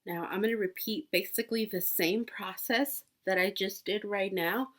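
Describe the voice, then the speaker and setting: A woman's voice: mid-range voice, moderate pace, fairly bright tone. A woman in her twenties, sick with a cold, chatting casually through a makeup tutorial with many fillers and restarts. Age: 20s. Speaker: beauty vlogger.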